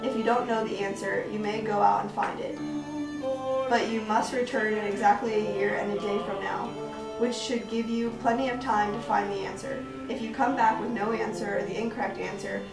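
A woman's voice: medium pitch, lightly acted. Woman playing a queen, echoey and distant. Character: stern, merciful medieval queen setting conditions. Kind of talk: scripted puppet show dialogue. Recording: echoey, distant, music underneath.

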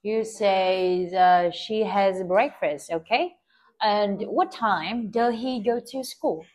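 A woman with a Vietnamese accent, an English teacher giving a one-on-one speaking test, patient and gently correcting. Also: medium pitch, takes it slowly. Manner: patient, asks short drill questions and corrects gently.

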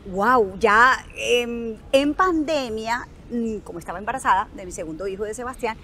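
A woman's voice: a little high, normal speed, a little hiss or hum. A woman in her thirties with a Colombian Caribbean coast accent, telling stories animatedly as an interview guest outdoors. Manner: expressive, acts out dialogue. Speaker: guest, news anchor. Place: outdoor resort terrace.

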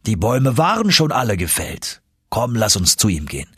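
A man voicing a confident, eager older professor for an audiobook, husky and emphatic, as if lecturing. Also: fairly low pitch, very animated, moderate pace, fairly bright tone, no echo, clean studio sound, exaggerated voice. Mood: assured.

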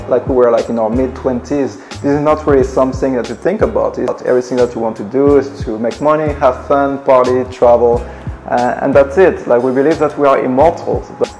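A man's voice: medium pitch, darkish tone. A man in his late twenties with a French accent, from Ivory Coast, telling his personal story to camera from his living room in an earnest, reflective way with many fillers. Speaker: travel blogger. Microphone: camera at desk distance.

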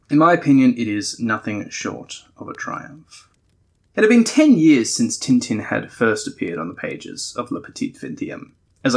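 A man's voice: mid-range voice, a bit bright. A man hosting a podcast, giving a conversational, partly scripted review in a thoughtful, explanatory way. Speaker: podcast host.

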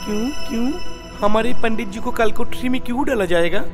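A man's voice: extremely high pitch, a little fast. A man voicing an indignant, argumentative court poet in a children's cartoon. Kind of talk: Hindi children's cartoon dubbing. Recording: reverberant hall with music.

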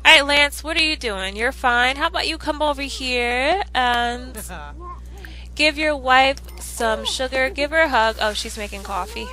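A woman's voice: high voice, a little slow, sharp tone, low-quality recording. A woman chatting casually through a life-sim game playthrough, upbeat and rambling with fillers, her throat slightly ticklish from a coming cold. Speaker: let's play host. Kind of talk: casual gameplay commentary.

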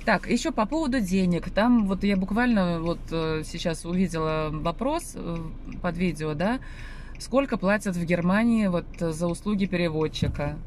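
A woman's voice: lowish voice, lively intonation, normal speed. A woman in her forties from Russia chatting casually to her viewers from her car, rambling with many fillers and restarts. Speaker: vlogger. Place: inside a parked car, and walking outdoors. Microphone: phone camera on the dashboard. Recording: loud car and street noise.

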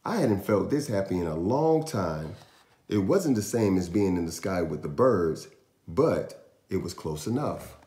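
A man in his thirties reading a picture book aloud to young children, with deliberate, dramatic storyteller pauses. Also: sits low, animated, dark tone. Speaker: children's storytime host and reader. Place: small indoor room in a house. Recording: roomy echo, faint music.

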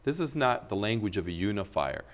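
Middle-aged man, TV guest, earnest, argumentative, lower voice, lapel mic. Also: lively intonation, fairly quick. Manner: earnest, argumentative, gestures while speaking. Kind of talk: studio interview. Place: TV studio.

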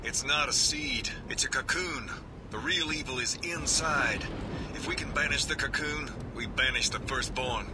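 An actor playing a resolute special forces soldier in a video game, speaking earnestly with loud music behind him. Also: male voice, medium pitch, moderate pace, noisy background, lightly acted. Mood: grave awe. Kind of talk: video game cutscene acting.

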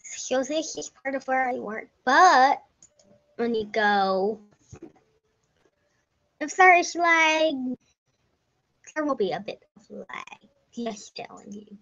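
A young woman talks slowly over her gameplay, her voice a little high and unhurried. She rambles and corrects herself, now and then sinking to a whisper. The home mic sounds dull and lo-fi. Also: animated, fairly bright tone. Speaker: gameplay narrator. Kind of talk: casual game commentary. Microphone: desk microphone.

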